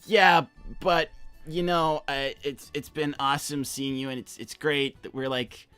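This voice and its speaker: A man's voice: on the high side, fairly even intonation, unhurried, bright, forceful tone, clean tone, no echo, faint music behind. A man playing an awkward, eager teenage hedgehog in an improvised cartoon role-play, stammering, rambling and backtracking. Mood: awkward, nervous.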